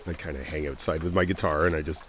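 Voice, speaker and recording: A man's voice: extremely low pitch, fairly even intonation, fast, fairly bright tone. A man playing a friendly, bashful stranger in a boyfriend roleplay audio, talking casually and a little hesitantly. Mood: relaxed, friendly. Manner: hesitant, apologetic, chuckling, conversational. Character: friendly, bashful male stranger at a lakeside trailer park. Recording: outdoor water ambience noise.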